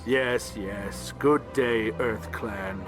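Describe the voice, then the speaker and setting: Game role: small, huffy alien diplomat, slow delivery, resentful grumble, over music. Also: male voice, fairly low pitch. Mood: dismissive. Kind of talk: video game voice acting.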